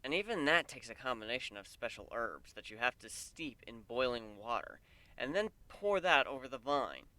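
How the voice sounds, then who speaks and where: A man's voice: medium pitch, animated, moderate pace, sharp tone, no echo. A man playing an earnest, easygoing male pegasus in a fantasy story, talking with a mild grumble.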